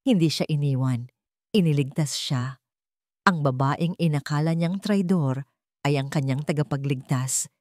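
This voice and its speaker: A woman's voice: low-pitched, a little slow, a little mellow, no room sound, studio quality. A woman reading scripted narration for a dramatic story in the manner of a storyteller.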